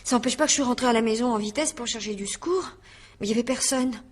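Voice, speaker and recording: Husky, high-pitched, flustered young housemaid. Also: female voice, quick pace. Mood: agitated, earnest. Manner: stammering, rambling, emphatic, colloquial. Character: flustered, naive, chatty eighteen-year-old housemaid giving testimony. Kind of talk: French radio mystery drama acting.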